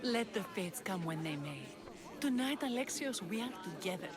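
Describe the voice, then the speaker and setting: An actress playing an earnest young woman leading rebels in a game cutscene, soft and reflective. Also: medium pitch, expressive, normal speed, natural voice. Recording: game cutscene with loud music behind.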